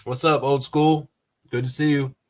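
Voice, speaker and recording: A man's voice: mid-range voice, little pitch movement, normal speed. A man hosting a casual toy-collecting livestream, talking in a rambling, laid-back way with frequent laughs and some cursing, a little congested from allergies. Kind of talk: casual livestream chat with viewers.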